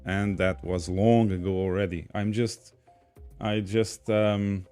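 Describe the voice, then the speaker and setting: A man's voice: fairly low pitch, steady tone, slow, warm tone, clean tone, soft background music. A man from Portugal with a Portuguese accent, casually hosting a livestream and reading viewer comments aloud. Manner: chatty, reads viewer comments aloud, laughs easily. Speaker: podcast host reading chat.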